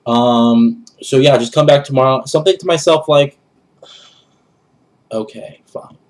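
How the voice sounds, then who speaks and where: A man's voice: medium pitch, a little slow. A young man with a nasal, congested voice from a cold, chatting casually to camera as a gaming vlogger in a bedroom. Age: young adult. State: has a cold. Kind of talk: casual rambling channel update to camera. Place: small bedroom, indoors.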